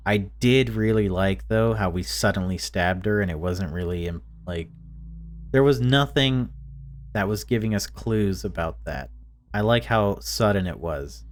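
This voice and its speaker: A man hosting a gaming video, reading a visual novel aloud and commenting on it casually. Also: fairly low pitch.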